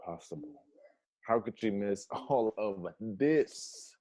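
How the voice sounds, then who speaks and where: A man's voice: on the low side, animated, slow pace, mellow. A man playing an incredulous, analytical housemate in a table read of a comedy script.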